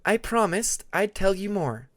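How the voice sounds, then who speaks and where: A man voicing a young female dragon who is reassuring and politely evasive, speaking with measured insistence in an audiobook. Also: high-pitched, lively intonation, no echo, clean studio sound, voice pushed up.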